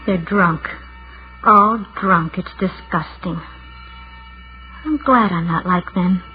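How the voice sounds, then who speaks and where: A woman's voice: on the low side, takes it slowly, soft background music. An actress playing a shy, anxious, judgmental lonely woman of thirty in a brittle, fretful 1940s radio drama style. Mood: bitter, fretful. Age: 30s. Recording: noisy, narrow-band 1940s radio recording.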